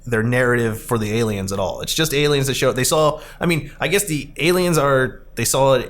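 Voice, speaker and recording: A man hosting a casual podcast discussion about a film, talking in an animated, rambling way. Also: mid-range voice, fairly quick. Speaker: podcast host.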